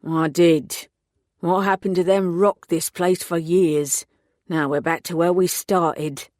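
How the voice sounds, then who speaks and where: A woman voicing a gruff grim pub regular. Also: on the low side, steady tone, slow pace, a little mellow, no room sound, studio quality. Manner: blunt, grim, plain-spoken. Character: gruff middle-aged male village pub regular.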